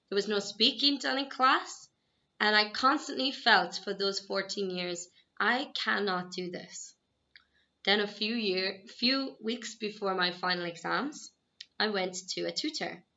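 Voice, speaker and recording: A young Irish woman, an English teacher, introducing herself to students in a friendly, encouraging and clearly measured way. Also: medium pitch, bright, forceful tone. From Ireland. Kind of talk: self-introduction video to students. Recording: dull, slightly lo-fi sound.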